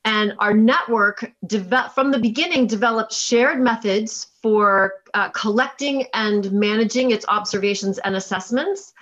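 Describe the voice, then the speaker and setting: A woman scientist giving a keynote presentation in a measured, explanatory way over a video call. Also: fairly high pitch, fairly bright tone, highs cut off. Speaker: keynote speaker and chief scientist. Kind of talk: conference presentation with slides.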